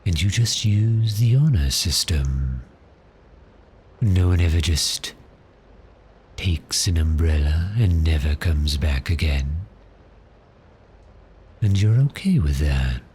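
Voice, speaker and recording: A man playing a cheerful, courteous vampire posing as a customer, speaking politely and gently in an ASMR roleplay. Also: very deep voice, slight background noise, heavily acted. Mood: politely curious. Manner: polite, unhurried, softly genteel with measured pauses.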